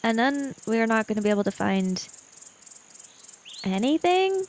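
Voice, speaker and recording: A woman with a high-pitched voice takes part in a tabletop roleplaying game. She talks slowly and casually, often speaking as her character. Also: big pitch swings, a little mellow, slight background noise.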